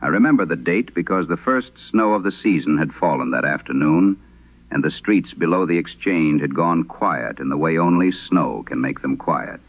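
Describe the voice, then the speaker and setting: A man narrating a vintage late-night radio horror show, reading letters in a solemn, measured, literary way through an old radio filter. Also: a bass voice, no room sound, narrow, tinny audio. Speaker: horror audio drama host reading letters. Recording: band-limited, dry vintage radio sound.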